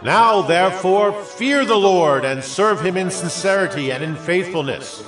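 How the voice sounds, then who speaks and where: Solemn aged leader, music behind. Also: male voice, sits high, lively intonation, unhurried, a bit bright, distant mic. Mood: fervent exhortation. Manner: solemn, declamatory, emphatic. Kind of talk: dramatized scripture oratory.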